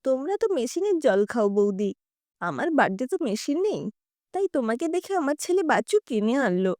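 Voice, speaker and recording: Woman acting a humble housemaid auntie, rustic colloquial talk, fussing, deferential, mellow, animated, audio storybook. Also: high voice, normal speed, no room sound, studio quality. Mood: proud, eager. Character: effusive, humble housemaid auntie, eagerly hospitable.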